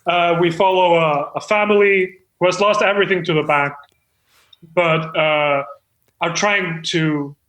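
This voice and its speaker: A man's voice: fairly high pitch, steady tone, a bit bright, echoey room, rough recording. A man playing an earnest, self-important amateur screenwriter, hesitant and rambling, in improvised comedy chat. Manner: hesitant, rambling, full of pauses.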